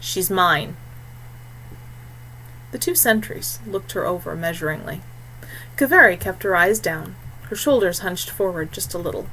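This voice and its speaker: A woman's voice: fairly low pitch, expressive, moderate pace, sharp tone, a little hiss or hum, rough recording. A woman reading scripted fiction as an audiobook narrator.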